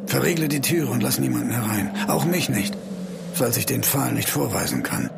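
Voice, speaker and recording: Gravelly, grim village man. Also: mid-range voice, very animated, moderate pace, very noisy. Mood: grim resolve. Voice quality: creaky, gravelly. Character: grim, resolute village man, protective brother. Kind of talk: German radio drama acting. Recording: loud music behind.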